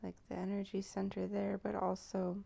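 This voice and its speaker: A woman leading an improvised guided meditation, speaking softly and haltingly with pauses, often close to a whisper. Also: medium pitch, monotone, gravelly. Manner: slow, hushed, halting delivery with pauses.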